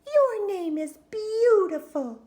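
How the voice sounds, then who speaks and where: A woman's voice: very high-pitched, sing-song, slow, mellow, pitched above the performer's own voice, heavily acted. A woman voicing a doting, reassuring mother in a children's storybook read-aloud. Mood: gushing.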